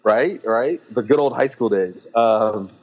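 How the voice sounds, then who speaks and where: A man's voice: mid-range voice, highs cut off. A man from Lebanon, raised in California, speaking as a podcast guest on fitness business, telling it with restarts and acted-out thoughts. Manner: talks in quick bursts with restarts, acts out trainers' thoughts. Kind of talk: casual podcast interview.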